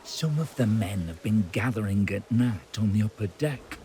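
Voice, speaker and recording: An actor playing a wary, loyal sailor in a video game, talking in a guarded, plain-spoken way. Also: male voice, lowish voice, expressive, moderate pace, dark tone, a little hiss or hum, lightly acted. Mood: guarded, uneasy. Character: wary, loyal male sailor quietly passing on information. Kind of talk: video game cutscene acting.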